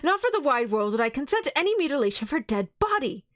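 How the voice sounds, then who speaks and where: A woman's voice: mid-range voice, fairly even intonation, moderate pace, no echo, studio quality, natural voice. A woman voicing a grieving, outraged young English nobleman in a read-aloud novel, speaking in passionate, pleading outbursts.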